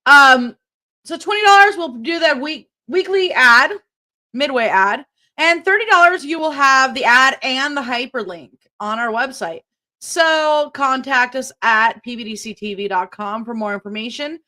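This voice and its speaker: High bright gal cohosting a podcast, chatty, trips over her words reading the ads. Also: lively intonation, unhurried. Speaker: podcast co-host. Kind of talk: casual chat and show announcements.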